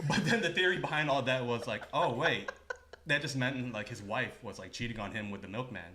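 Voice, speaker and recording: A man's voice: medium pitch, fairly quick, bright, forceful tone. A man chatting casually as a podcast co-host, joking with friends in slangy, profane talk. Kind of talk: casual banter between friends.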